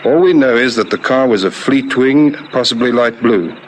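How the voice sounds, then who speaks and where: A male actor as a curt, courteous police lieutenant from an old radio detective serial. He is methodical and authoritative, with clipped questions in a medium-pitched voice. The old recording carries faint noise. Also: moderate pace, lightly acted. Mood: brisk, businesslike. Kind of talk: vintage radio detective drama acting.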